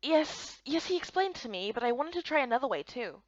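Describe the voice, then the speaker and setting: Woman as chatty young fantasy game heroine, earnest, really animated high voice, dull recording. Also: a little fast, no room sound. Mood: earnest, defensive.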